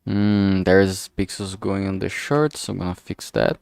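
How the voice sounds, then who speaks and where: A man thinking aloud in a halting way, chatting casually on a solo livestream as he works. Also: deep voice, completely flat delivery, vocal fry, darkish tone, clear, resonant tone, no echo. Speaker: streamer making a visual novel. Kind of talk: casual solo livestream chat while working.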